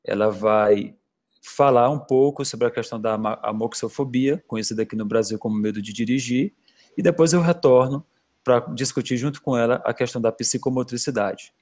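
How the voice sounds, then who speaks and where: A man at an average pitch walks through driving-instruction advice in a plain, explanatory way. He often fills his pauses with "é" and "né" while hosting the webinar. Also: a little mellow. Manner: explanatory, with fillers like "é" and "né". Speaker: traffic-safety webinar host. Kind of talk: instructional talk.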